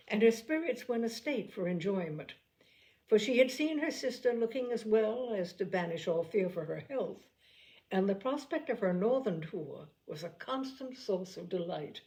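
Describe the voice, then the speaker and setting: A woman reading a classic novel aloud in a measured storytelling manner, now and then correcting a slipped word. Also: mid-range voice, creaky, a little mellow, poor audio. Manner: measured storytelling, occasional slips and self-corrections. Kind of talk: audiobook narration.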